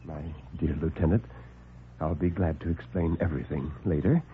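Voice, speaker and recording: An actor playing a calm, superior doctor villain who speaks quietly and politely while he threatens. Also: very deep voice, normal speed, a little hiss or hum. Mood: suave. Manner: smooth, quiet, politely menacing. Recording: tinny old radio broadcast.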